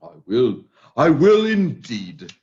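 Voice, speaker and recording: A man voicing a flustered middle-aged charity gentleman who stammers in astonishment, on a dull lo-fi recording. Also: mid-range voice, wildly swooping pitch, slow pace, voice pushed down.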